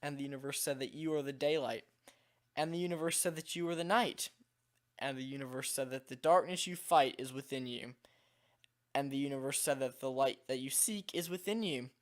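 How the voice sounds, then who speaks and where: A man with a slightly hoarse, worn-out voice, reading a game text aloud in a calm, measured way. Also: medium pitch. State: slightly hoarse, voice nearly gone. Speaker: video creator.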